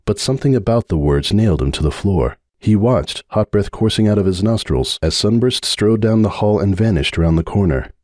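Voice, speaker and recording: A man narrates a dramatic fan-story reading in a very low, mellow voice. His pacing is measured and brooding. The recording is dry and close, with no room echo. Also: lively intonation. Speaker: audiobook narrator.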